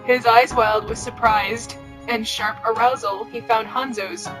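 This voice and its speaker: A woman with a fairly high voice narrates a story as an amateur podcast host. Her reading is casual, and music plays clearly behind her. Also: fairly even intonation, measured pace. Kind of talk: amateur fan fiction narration with casual joking asides.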